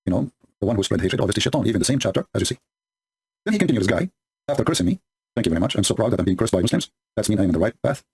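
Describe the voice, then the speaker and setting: Male commentator, native Arabic speaker, husky voice, sarcastic and combative, broken English, sped-up recording. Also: fairly low pitch, sing-song, very fast, darkish tone. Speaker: religious polemic commentator. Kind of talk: commentary reading and mocking scripture. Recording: sped up to double speed.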